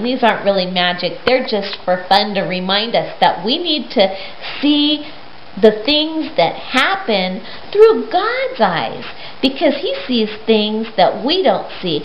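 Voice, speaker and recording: Lady doing bible storytime for kids, highish voice, simple emphatic talk, echoey room w some hiss. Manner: simple, emphatic, addresses children with questions. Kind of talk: retelling a Bible story to young children. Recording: roomy, dull lo-fi sound with hiss.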